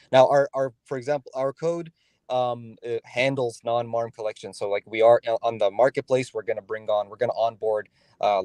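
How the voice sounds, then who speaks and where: A man speaking as a guest, explaining his team's work in a halting way with many restarts and fillers. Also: lowish voice, a little mellow, dry recording. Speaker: guest developer. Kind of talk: casual conversational interview.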